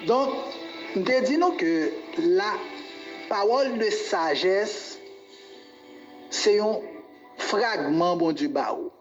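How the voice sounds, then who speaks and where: A man's voice: sits high, expressive, takes it slowly, long pauses. A man with a Haitian accent teaching the Bible as a pastor, didactic, repeating key phrases and checking that listeners follow. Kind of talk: Bible teaching on spiritual gifts. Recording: dull, echoey room recording with music behind.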